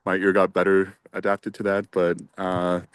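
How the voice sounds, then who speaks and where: A man answering interview questions in a thoughtful, measured way with some hesitation. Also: sits low, completely flat delivery, clear, resonant tone, no room sound.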